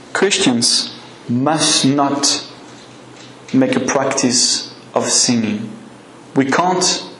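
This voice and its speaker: A man's voice: a little high, fairly bright tone, a little hiss or hum. French-accented man preaching in an echoey church. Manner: conversational, asks the congregation questions. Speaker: guest preacher. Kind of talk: evening sermon with a live congregation.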